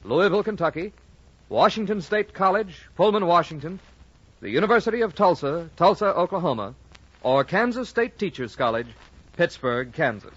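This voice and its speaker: An American man working as a radio announcer, reading a script formally in a 1940s broadcast style with measured diction. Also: high voice, lively intonation. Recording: old muffled radio broadcast, faint music.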